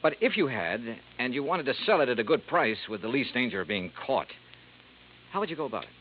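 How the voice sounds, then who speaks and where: An actor playing a wry, cool insurance investigator who narrates and questions people in a dry, laconic way, in a 1950s radio drama. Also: male voice, medium pitch, sing-song, fast, lightly acted. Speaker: narrator and lead detective. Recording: tinny lo-fi old radio broadcast with faint hiss.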